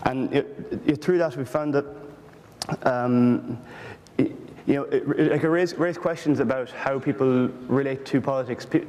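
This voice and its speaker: A man from Ireland with an Irish accent, speaking earnestly but haltingly on a panel about political research. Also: mid-range voice, darkish tone, big-room sound. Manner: earnest, halting, many restarts. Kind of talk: panel discussion.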